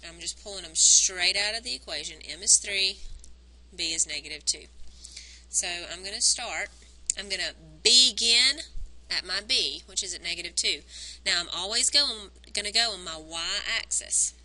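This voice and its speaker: A woman's voice: medium pitch, moderate pace, sharp tone, poor audio. A woman math teacher working through graphing problems step by step, counting points aloud, her voice strained from nearly losing it, recorded on a phone.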